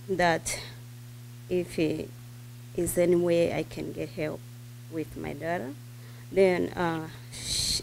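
A middle-aged mother who is not a native English speaker talks unscripted at a board meeting podium, searching for her words. She speaks slowly, and her lowish voice has a gravelly fry. A faint hum sits behind her. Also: a little mellow, poor audio. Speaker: parent speaker. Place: school board meeting room. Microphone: podium microphone.

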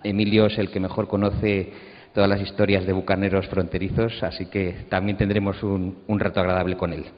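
A man's voice: low-pitched, flat delivery, fairly quick. A middle-aged man with a Castilian Spanish accent, moderating a panel and introducing its speakers into a handheld microphone on an auditorium stage. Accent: Castilian Spanish from Spain. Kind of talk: introducing panelists at a round table. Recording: muffled, reverberant auditorium recording.

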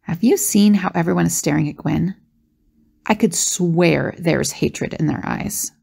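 A woman's voice: on the low side, measured pace, creaky, a little mellow, breathy edge, full character voice. A woman playing a sensible, perceptive, worried adventurer in an audio drama. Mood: uneasy.

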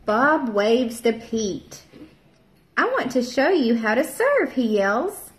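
A woman in her thirties, a teacher, reading a picture book aloud to young children and doing bright voices for the characters. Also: a little high, very animated, slow pace. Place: home room, seated at a table with a child. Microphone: camera at table distance. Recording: echoey room.

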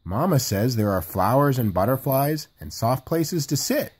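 An eager young kangaroo from a picture book, played by a man. The delivery is excited and childlike, with lifted, lively pitch. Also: moderate pace, husky. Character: small eager young male kangaroo joey.

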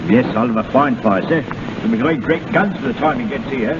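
A man's voice: medium pitch, a little fast, close to an everyday voice. An actor playing an eager, deferential male secretary in a 1930s radio drama. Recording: noisy, muffled 1930s radio recording.

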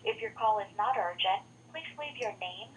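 A woman's voice: medium pitch, animated, normal speed, a bit bright. A woman reading a scripted automated announcement, heard over a telephone line. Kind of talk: scripted recorded phone announcement. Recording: dull phone audio with faint noise.

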